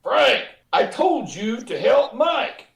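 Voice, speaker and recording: Man playing a high, creaky, bossy boss. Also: very animated, takes it slowly, a bit bright, exaggerated voice. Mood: impatient, urgent. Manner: emphatic, scolding. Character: harried, bossy, impatient boss in a crisis. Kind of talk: 1950s radio play acting. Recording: echoing room.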